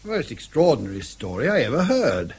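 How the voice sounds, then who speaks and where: An actor playing an elderly, courteous retired army colonel with an upper-class English accent and old-fashioned military phrasing in a radio drama. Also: male voice, medium pitch, sing-song, fairly quick, breathy edge. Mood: courteous, puzzled. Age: older.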